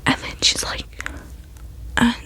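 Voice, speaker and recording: Young woman doing an asmr storytime, all whispered n breathy, chatty and rambly, says like a lot. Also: slow, bright, forceful tone. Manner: rambling, frequent "like" and "you know".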